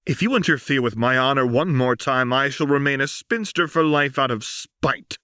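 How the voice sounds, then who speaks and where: A man putting on a proud young noblewoman soldier from a read-aloud story, fierce and defiant, her voice lifted well above a man's usual pitch. She speaks in a cold, cutting, indignant way, and the recording is dry and close. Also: normal speed, pushed and bright, clear, resonant tone, no echo, natural voice.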